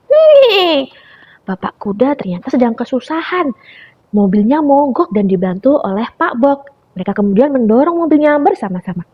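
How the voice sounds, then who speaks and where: Lively young woman telling a kids' story. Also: on the high side, husky. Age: young adult. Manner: animated, calls listeners "friends". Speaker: storyteller for preschool children. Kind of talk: children's storytelling. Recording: dull lo-fi recording.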